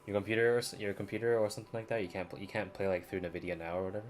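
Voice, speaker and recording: A man with a low voice talks casually to viewers of his game stream. His phrasing is slangy and informal. Music plays softly behind him. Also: little pitch movement, rapid-fire. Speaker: live streamer. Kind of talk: casual gaming stream chat.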